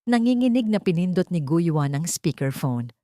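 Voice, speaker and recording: Woman, story narrator, lowish pitch, dramatic scripted delivery, dry sound. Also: big pitch swings, normal speed, mellow, dry recording.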